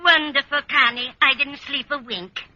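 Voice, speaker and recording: A woman's voice: high voice, fairly even intonation, normal speed, lightly acted. An actress playing a cheerful, scatterbrained older landlady who dotes on her cat, exclaiming in an old radio comedy. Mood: cheerfully dotty. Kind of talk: 1940s-50s radio comedy acting. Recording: noisy, muffled old radio recording.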